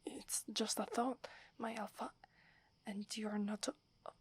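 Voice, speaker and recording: Woman doing obedient earnest werewolf girl asmr, italian accent, super breathy whispering, pretty even tone, respectful n hesitant. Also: medium pitch, slow, no echo. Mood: respectful, tentative. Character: submissive, brave young female werewolf, earnest and deferential.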